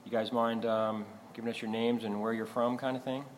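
A man hosting a casual podcast interview in a halting, hesitant way. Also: medium pitch, flat delivery, a little hiss or hum. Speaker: podcast host. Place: artist's studio.

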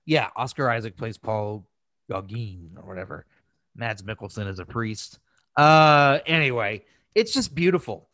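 A man's voice: medium pitch, expressive, a little slow, bright, forceful tone, dry recording. A man hosting a podcast, chatting casually about films in a crass, emphatic and gushing way. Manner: crass, freely swearing. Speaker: podcast host. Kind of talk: casual film review chat.

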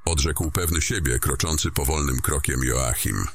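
Extremely deep-voiced male fantasy narrator. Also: breathy edge. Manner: descriptive, deliberate storytelling. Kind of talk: scripted fantasy story narration.

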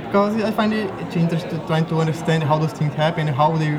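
A man's voice: on the high side, fast. A young man from Brazil, a graduate student, chatting in an interview with many restarts and self-corrections. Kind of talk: casual conversational interview. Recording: loud crowd noise behind. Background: crowd chatter.

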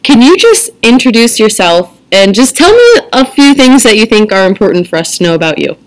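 A woman's voice: a little high, sing-song, fairly quick, no echo. A woman hosting her own podcast, talking casually and earnestly through announcements and welcoming a guest, with small laughs between phrases. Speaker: podcast host.

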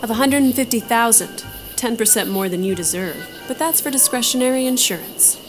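A woman's voice: on the high side, expressive, brisk, lightly acted. A woman playing a tough, sardonic space adventurer in an audio drama, with a wry, confident delivery. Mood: hard bargaining. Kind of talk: audio drama character acting. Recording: music playing behind the voice.

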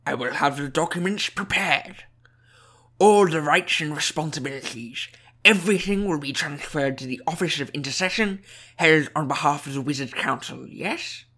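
A wily goblin banker from a fantasy audiobook, voiced by a man. He plays meek and agreeable, putting his questions in a halting, deferential way. The manner is servile and wheedling. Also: a little high, pushed and bright. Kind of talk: audiobook character dialogue.

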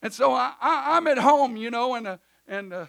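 A preacher with a loud, high-pitched voice that cuts bright and sharp through the sanctuary. He swings from fervent shouting down to soft, offhand remarks. His is easy to hear in his casual phrasing. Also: animated, normal speed, creaky. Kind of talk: sermon in church service. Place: church sanctuary.

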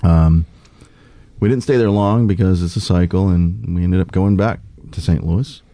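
Deep, gravelly-voiced man. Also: normal speed, mellow, dry recording. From United States. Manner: earnest, with frequent fillers and restarts. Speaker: political candidate guest. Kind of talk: conversational interview.

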